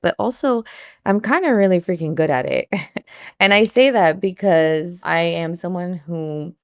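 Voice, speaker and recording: A woman's voice: sits low, gravelly, no room sound, studio quality. A woman in her thirties, born in Colombia, talking casually as an interview guest, chatty with lots of fillers and a few Spanish words. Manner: chatty, warm-hearted, many fillers like "like" and "you know", mixes in Spanish words. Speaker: nonprofit consultant and founder, guest.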